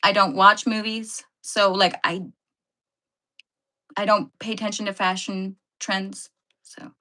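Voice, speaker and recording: A woman's voice: mid-range voice, level tone, slow pace, bright, forceful tone. A woman hosting a casual live stream, chatting with viewers and answering their comments, laughing often and correcting herself as she goes. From Canada.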